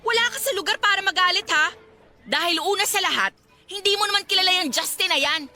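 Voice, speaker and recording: A woman's voice: extremely high pitch, quick pace, pushed and bright, full character voice. A woman acting a blunt, judgmental, gossiping young cousin in an audio drama. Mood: angry. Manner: blunt, argumentative. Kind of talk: acted Tagalog audio drama dialogue.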